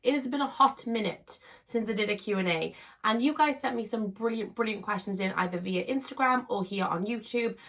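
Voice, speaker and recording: Croaky woman chatting to camera. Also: on the high side, brisk, a bit bright. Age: 30s. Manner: chatty, upbeat, repeats words for emphasis. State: hoarse, croaky from losing her voice. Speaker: YouTuber. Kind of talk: casual chat to camera.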